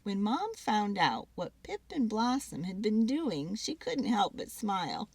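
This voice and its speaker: A woman giving an expressive, dramatic read-aloud of a children's story. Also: medium pitch, a little slow, breathy edge, no room sound.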